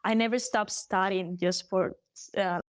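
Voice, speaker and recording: A young woman from Galicia with a Spanish accent, a native Galician speaker, chatting as an interview guest, animated and laughing often. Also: mid-range voice, unhurried, clean tone, no echo, studio quality. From Galicia, Spain. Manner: animated, laughs often, restarts her sentences. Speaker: guest singer. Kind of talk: casual interview chat.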